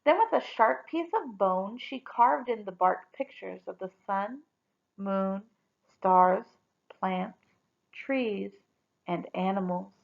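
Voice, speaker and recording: A woman reading a story aloud expressively, with deliberate, dramatic emphasis on key words. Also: medium pitch, creaky, muffled recording.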